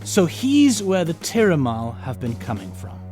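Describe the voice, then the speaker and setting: A man's voice: fairly high pitch, very animated, unhurried, a little mellow, soft background music. Man playing a witty, swooping-voiced eccentric adventurer. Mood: curious, amused. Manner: flustered, repeats words, wry. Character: the Doctor, witty humane time-travelling alien adventurer. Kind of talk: audio drama acting.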